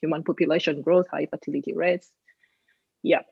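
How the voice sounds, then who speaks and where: A woman's voice: low-pitched, steady tone, brisk, creaky, breathy edge, no echo, muffled recording. A young woman from Uganda with a Ugandan accent, talking earnestly as an interview guest, often adding "you know". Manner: earnest, emphatic, with frequent "you know"s and laughs. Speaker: guest, environmental advocate. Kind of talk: casual interview talk.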